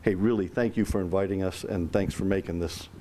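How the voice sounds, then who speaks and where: A man giving a brisk, promotional business presentation at a roundtable, with some fillers. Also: low voice, fairly even intonation, noisy background.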